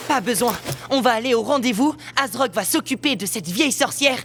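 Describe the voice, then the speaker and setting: A woman playing a cocky, glib, smooth-talking young boy in a French cartoon. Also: fairly high pitch, expressive, a little fast, a little hiss or hum. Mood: brash. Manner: brash, glib. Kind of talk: French animated series voice acting. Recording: loud music behind.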